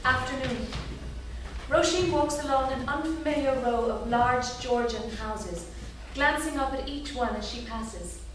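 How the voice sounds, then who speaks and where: A woman's voice: high-pitched, unhurried. A woman reading scripted stage directions as the narrator of a staged reading. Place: theatre stage before an audience. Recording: echoey theatre, distant, faint noise.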